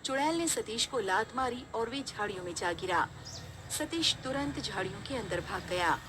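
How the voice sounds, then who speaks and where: A woman's voice: mid-range voice, animated, normal speed, background noise. A woman narrating a scripted horror story.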